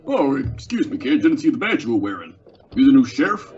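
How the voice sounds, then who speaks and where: A man's voice: mid-range voice, very animated, fairly quick, a little mellow, soft background music, close to an everyday voice. A teenage man playing a folksy, patronizing frontier saloon man in an old-time western radio drama. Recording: dull lo-fi radio recording.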